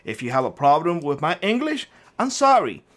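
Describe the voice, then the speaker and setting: A man's voice: fairly high pitch, wildly swooping pitch, moderate pace, a bit bright. A middle-aged man from Cuba with a Cuban Spanish accent, hosting a prepper vlog and ranting combatively at a commenter, with frequent swearing. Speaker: prepper channel host.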